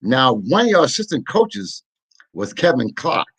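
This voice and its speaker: A man hosting a sports interview show, effusive and quick to praise his guest. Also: medium pitch, sing-song, a bit bright, slightly rough.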